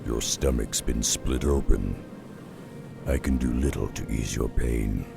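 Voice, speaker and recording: Half-orc beastmaster, game cutscene, extremely deep, gravelly, slow, grave, terse, loud orchestral music behind. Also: male voice, heavily acted. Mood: grim. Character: gruff half-orc beastmaster, solitary wanderer.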